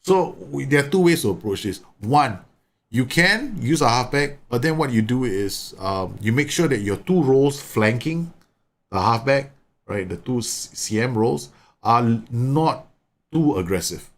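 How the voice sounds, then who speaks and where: A man's voice: medium pitch, animated, a little slow. A man from Singapore, chatting and explaining tactics while live streaming a game, often repeating words. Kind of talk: live gameplay commentary and chat.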